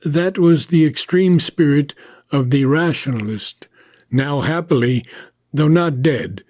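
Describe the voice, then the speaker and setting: A man narrates a philosophical audiobook in a slightly raised register. His delivery is very slow and measured, broken into short, deliberate phrases with frequent pauses. The sound is dry, with no room around the voice. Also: fairly high pitch, darkish tone, dry recording. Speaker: audiobook reader. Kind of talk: reading a philosophical text aloud.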